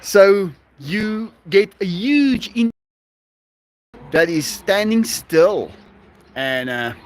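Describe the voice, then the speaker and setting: A South African man chats casually with his livestream viewers in a high, lively voice. He speaks slowly, laughs often and keeps restarting his sentences. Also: slight background noise. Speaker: livestream host. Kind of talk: casual live-stream chat with viewers.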